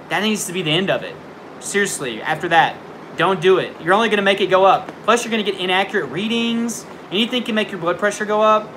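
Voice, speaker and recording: A man's voice: on the high side, bright, forceful tone, a little hiss or hum. A young man hosting a casual livestream from home, giving frank tough-love advice with lots of fillers, and jumpy at the storm outside. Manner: jumpy, startled by thunder. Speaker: livestream host answering viewer questions. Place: indoors at home near a window during a storm.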